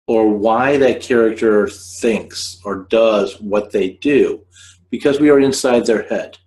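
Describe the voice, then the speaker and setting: A man hosting a scriptwriting podcast, explaining in a modest, deliberate way. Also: on the low side, low-quality recording. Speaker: podcast host teaching scriptwriting. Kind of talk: casual explanatory talk.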